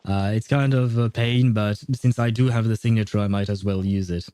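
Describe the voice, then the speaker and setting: A man's voice: on the low side, steady tone, a little fast, mellow, clear, resonant tone. A man livestreaming a video game, chatting to viewers in an informal, wry way. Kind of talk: casual live gaming commentary, chatting with viewers.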